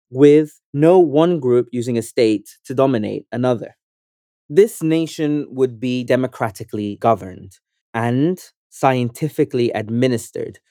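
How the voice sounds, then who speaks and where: A young adult man from Sudan, an organizer and activist, reading a scripted first-person essay in an earnest, measured, persuasive way. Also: medium pitch, dark tone, dry recording, clean studio sound.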